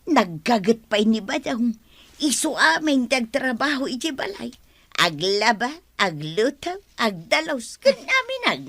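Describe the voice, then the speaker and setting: Radio drama: easygoing gossip, unhurried delivery, dry close sound, Ilocano Filipino accent. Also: female voice, on the high side, big pitch swings, fairly bright tone, no room sound, full character voice. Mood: gossipy.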